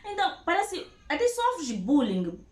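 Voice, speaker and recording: A woman's voice: extremely high pitch, wildly swooping pitch, measured pace, a little mellow. A Mozambican woman with a central Mozambican accent, chatting casually, echoing her partner's words and acting out little scenes. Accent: central Mozambican Portuguese. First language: a Mozambican language. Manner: echoes the other speaker's words, acts out scenes. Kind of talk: casual chat.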